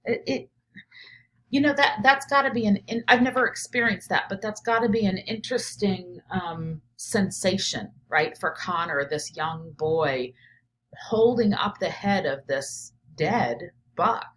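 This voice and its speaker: A woman teacher reading a picture book aloud and pausing to reflect on it out loud, often checking in with "right?". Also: medium pitch, poor audio. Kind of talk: read-aloud of a picture book with think-aloud commentary.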